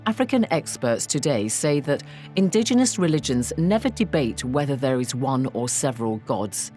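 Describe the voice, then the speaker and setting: A woman with a very low voice presents a documentary, delivering scripted narration and interview questions at a measured, even pace. Soft music runs faintly underneath.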